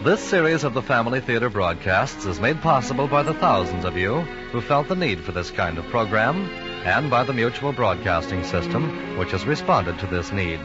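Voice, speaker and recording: A man's voice: mid-range voice, big pitch swings, normal speed. A man announcing a scripted network sign-off in 1940s radio style. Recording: muffled vintage radio recording. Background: loud music.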